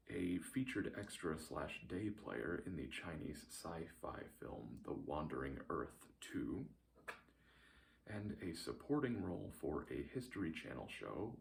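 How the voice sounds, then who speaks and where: A man reading a scripted recap as a narrator, with a dry, deadpan delivery. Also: rumbling low, gravelly, a little hiss or hum.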